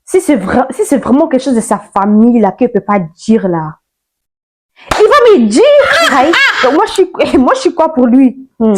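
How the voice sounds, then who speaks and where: A woman's voice: fairly high pitch, sing-song, normal speed, darkish tone, slight background noise. A nineteen-year-old woman from Ivory Coast, chatting playfully and sassily to camera in a casual vlog. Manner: chatty, playful, sassy, mixes slang. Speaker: YouTuber.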